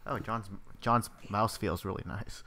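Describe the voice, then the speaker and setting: Guy almost thirty chatting on a stream, bright voice, right up on the mic, lots of ums. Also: lowish voice, steady tone, a little slow. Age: late 20s. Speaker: guest. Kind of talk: casual chat. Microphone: close to a desk mic.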